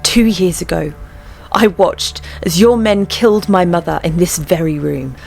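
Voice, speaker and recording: Woman acting a proud young warrior queen, lowish voice, firm, solemn, fantasy fandub, faint music underneath. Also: measured pace, gravelly, darkish tone. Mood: grieving anger.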